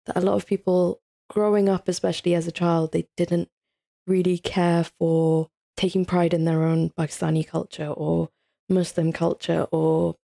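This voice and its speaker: A woman's voice: lowish voice, level tone, moderate pace, vocal fry, dark tone. A twenty-two-year-old woman from England, a podcast guest chatting casually and laughing often.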